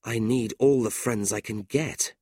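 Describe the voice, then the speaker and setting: A narrator as an earnest, troubled young gentleman. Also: mid-range voice, a little slow, husky, no room sound, studio quality. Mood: dejected.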